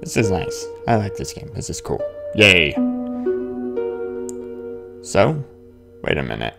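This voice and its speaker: A man's voice: sits low, huge pitch swings, slow pace, creaky. A man giving casual, rambling gameplay commentary, muttering asides to himself. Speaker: gamer hosting a playthrough. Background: game music.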